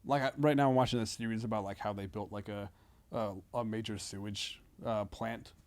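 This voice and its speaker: Man in halting, casual comedic chat. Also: fairly low pitch, steady tone, darkish tone. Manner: halting, hedging, swears casually, checks in with "you know what I mean". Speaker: podcast guest, comedian.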